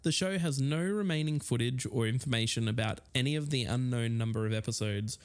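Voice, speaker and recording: A man's voice: medium pitch, a little fast. An Australian man co-hosting a podcast, reading and reciting scripted material. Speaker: podcast co-host. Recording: roomy echo, distant microphone, music behind.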